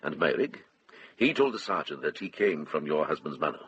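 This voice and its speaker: An actor playing a shrewd middle-aged monk investigator in a radio drama, speaking in a terse, probing, direct way with a husky voice. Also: male voice, rumbling low, big pitch swings, a little fast, a bit bright, lo-fi sound, a little hiss or hum, heavily acted.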